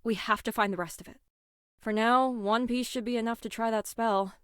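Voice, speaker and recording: A woman's voice: fairly high pitch, steady tone, normal speed, a bit bright, clean tone, dry recording, clean studio sound, lightly acted. A woman playing a stern, determined, no-nonsense young woman in a game, firm and curt in her delivery.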